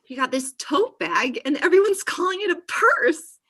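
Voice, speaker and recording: Actress as chatty, possessive best friend, thirty, female, bright forceful voice, comedy table read. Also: very high voice, sing-song, moderate pace, exaggerated voice. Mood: gushing, teasing. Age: young adult. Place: indoor room on a video call. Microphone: video call.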